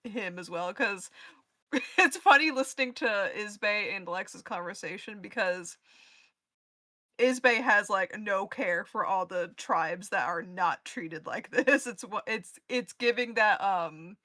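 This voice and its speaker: A woman's voice: medium pitch, lively intonation, measured pace, pushed and bright, no echo. A woman giving her take in a casual panel chat, informal and amused. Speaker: podcast live event panelist.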